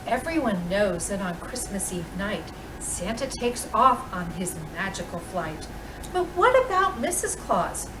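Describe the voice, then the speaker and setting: Expressive woman storyteller, very animated pitch. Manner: expressive, deliberate storytelling with dramatic pauses. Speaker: storytime reader playing Mrs. Claus. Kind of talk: reading a children's picture book aloud. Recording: roomy, distant microphone with clear background noise.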